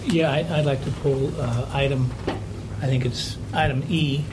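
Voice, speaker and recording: Board member guy asking for stuff in a meeting, medium voice, bit halting, noisy room. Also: medium pitch, fairly even intonation. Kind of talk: informal meeting discussion.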